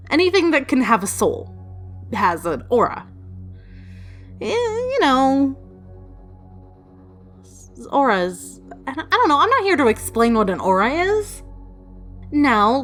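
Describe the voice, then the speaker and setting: A woman telling personal stories casually in a chatty, rambling, playfully emphatic way. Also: mid-range voice. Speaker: storytelling video creator. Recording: music behind the voice. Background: background music.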